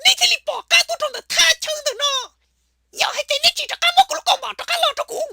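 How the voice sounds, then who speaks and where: A woman voicing an excitable, shrill comic cartoon character with a squeaky, creaky voice, prone to bursting into shouts. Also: quick pace, dry recording, faint music behind, heavily acted. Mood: agitated, shouting.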